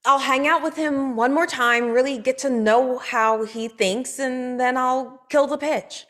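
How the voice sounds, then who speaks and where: A woman's voice: sits high, fairly even intonation, a little slow, a bit bright. An actress at a table read playing an awkward, hesitant young woman who writes scripts.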